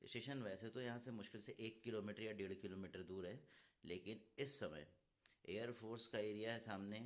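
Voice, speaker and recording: Man vlogger, low-ish voice, a bit hoarse, plain indoor phone recording. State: slightly hoarse throat. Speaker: vlogger, husband. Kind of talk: casual travel vlog to camera. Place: indoors in a room at night.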